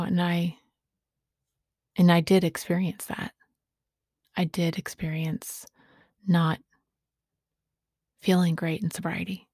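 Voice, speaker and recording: A woman in her late forties giving a reflective personal monologue as a podcast host, speaking haltingly in short phrases with a breathy, husky voice. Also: on the low side, darkish tone, dry recording, clean studio sound. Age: late 40s.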